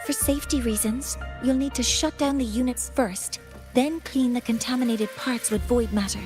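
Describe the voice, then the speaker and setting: Woman doing strict composed game professor lady, high voice, formal n matter-of-fact, music behind. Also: normal speed, lightly acted. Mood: stern, composed. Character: strict, composed female professor, precise and technical. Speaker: video game character, professor. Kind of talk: scripted game dialogue.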